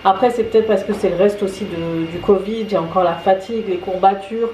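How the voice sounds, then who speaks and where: A woman's voice: mid-range voice, fairly even intonation, brisk, warm tone, echoey room, slight background noise, rough recording. A tired woman chatting casually in her home kitchen, hesitant, with many fillers. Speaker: vlogger. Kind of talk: casual vlog chat.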